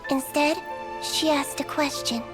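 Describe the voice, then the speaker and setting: Very high, gentle little girl doctor. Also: steady tone, measured pace. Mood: earnest storytelling. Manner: soft, earnest, storytelling. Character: small, gentle, cute nonhuman girl doctor telling her story. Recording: game dialogue under loud music.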